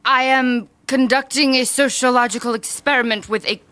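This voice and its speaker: A woman's voice: high-pitched, steady tone, normal speed, a bit bright, no room sound. An actress playing a very drunk, proudly literal woman official in an audio drama, slurring with careful, over-formal diction.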